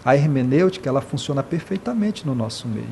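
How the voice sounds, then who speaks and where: An earnest man from Brazil speaks in an interview at a mid pitch. He talks with passion, often stops to restart, and keeps adding tag questions. A faint noise runs under the recording. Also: animated, fast talker, vocal fry, dark tone. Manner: impassioned, many hesitations and repeated "né".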